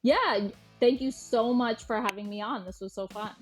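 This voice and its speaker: A woman's voice: a little high, moderate pace, soft background music. Chatty American woman in casual interview. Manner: animated, informal, heavy use of "like" and quoted dialogue. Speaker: podcast guest, journalist and historian.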